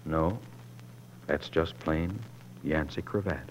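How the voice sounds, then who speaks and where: A man's voice: a bass voice, takes it slowly, poor audio. An actor playing a restless, grandiloquent frontier newspaperman and husband in the florid manner of 1940s radio drama. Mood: tender, romantic. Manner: theatrical, coaxing, florid. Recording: old 1940s radio recording.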